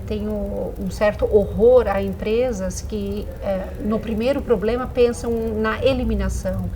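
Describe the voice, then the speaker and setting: A woman's voice: mid-range voice, noisy background. A middle-aged woman, a native Dutch speaker with a slight Dutch-influenced Brazilian accent, giving reflective answers as an interview guest. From Brazil, rural Dutch-speaking community.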